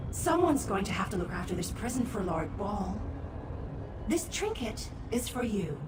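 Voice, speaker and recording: An actress voicing a haughty, gloating otherworldly servant who scorns mortals in a formal, condescending way, for a video game. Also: female voice, sits high, noisy background. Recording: echoing, distant-sounding, under loud music.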